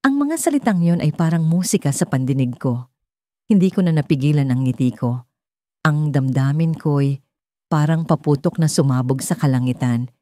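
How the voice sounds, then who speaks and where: A woman's voice: deep voice, animated, moderate pace, mellow, no echo, clean studio sound. A woman reading a scripted first-person romantic story as a storyteller.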